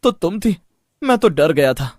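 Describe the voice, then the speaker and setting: A man plays a curious young villager caught in a horror cartoon. The voice is high and very animated, inquisitive with an uneasy edge, recorded dry. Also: unhurried, no room sound. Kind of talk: Hindi horror cartoon voice acting.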